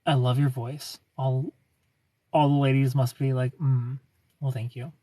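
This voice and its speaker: A man's voice: mid-range voice, flat delivery, a little slow, warm tone, dry recording. Laid-back man chatting on a livestream. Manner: smooth, laid-back, greets viewers by name. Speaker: livestream host. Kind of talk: casual livestream chat reading comments.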